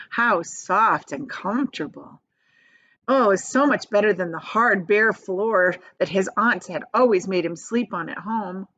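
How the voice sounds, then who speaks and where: Female storybook reader, teacherly and expressive, highly varied pitch, casual asides, muffled lo-fi recording. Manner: expressive, explains the story in plain words as she goes. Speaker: teacher reading aloud to children. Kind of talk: animated storybook read-aloud with casual asides.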